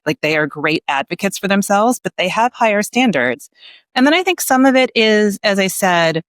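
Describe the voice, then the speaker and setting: A middle-aged woman speaks as an expert podcast guest. Her voice sits at a medium pitch in a very dry recording. She talks freely and candidly, adding conversational asides as she explains her view. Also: animated, moderate pace, no echo. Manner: candid, talkative, uses frequent conversational asides. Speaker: staffing industry researcher, podcast guest. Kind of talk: conversational interview commentary.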